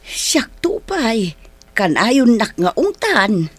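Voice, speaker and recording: Patient, worried older Filipino wife. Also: female voice, a little high, sing-song, drawn-out words, slightly rough, no room sound, exaggerated voice. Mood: worried, weary. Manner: coaxing, long-suffering. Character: patient, worried wife and mother of ailing old man. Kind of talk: Ilocano radio drama acting.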